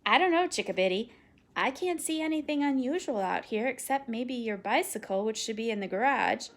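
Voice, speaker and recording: A woman voicing a calm, patient father who gently teases his daughter with dry jokes. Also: on the high side, a little fast. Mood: gently teasing. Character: calm, teasing father, a lawyer.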